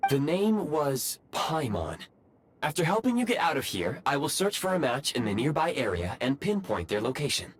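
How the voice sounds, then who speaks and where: A man's voice: on the high side, big pitch swings, moderate pace, slight background noise. A man voicing a polite robot assistant in a video game, speaking in formal, precise, machine-like phrases with devotion to serving. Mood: courteous. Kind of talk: video game character dialogue.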